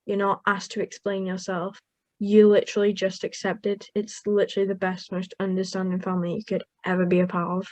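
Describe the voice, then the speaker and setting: A woman's voice: mid-range voice, monotone, brisk, darkish tone, no echo. A young woman from Wales whose first language is Welsh, answering interview questions about caring for her mother in an earnest, upbeat way with frequent small laughs. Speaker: young carer guest.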